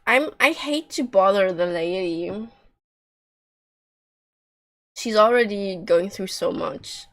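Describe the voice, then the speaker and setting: A woman giving casual, expressive live commentary as she streams a game, reacting aloud with slangy, sweary talk. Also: medium pitch, slow.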